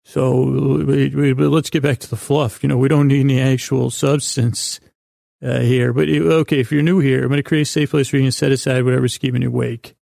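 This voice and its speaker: A man hosting a bedtime podcast in soothing, lulling tones, with a gravelly voice and a halting, meandering, whimsical way of rambling and stumbling over words. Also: mid-range voice, little pitch movement, a little mellow, no room sound, clean studio sound. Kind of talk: rambling sleep-aid monologue, bedtime story intro.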